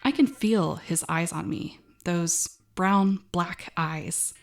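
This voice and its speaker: A woman's voice: lowish voice, slow, creaky, faint music behind. A woman playing an uneasy, wry young female cashier, narrating an audio drama in a wary, conversational first person. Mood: wary fascination.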